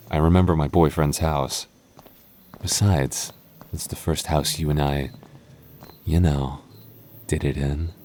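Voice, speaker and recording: Casting: young goth boyfriend played by a man, low voice, protective and teasing but easily flustered, stammering delivery, intimate ASMR roleplay. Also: mellow, slight background noise. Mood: hesitant, intrigued. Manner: stammering, halting, earnest delivery.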